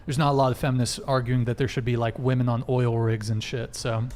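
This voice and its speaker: A man's voice: medium pitch, little pitch movement, brisk, darkish tone. A young man hosting a live-streamed panel chat, wired and rambling, swearing often as he reads out donations. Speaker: host.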